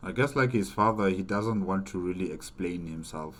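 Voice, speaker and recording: A man with a low voice hosts a casual reaction video. He talks in a halting, repetitive way, often posing rhetorical questions, with faint music behind him. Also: fairly bright tone. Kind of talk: casual spoken reaction commentary.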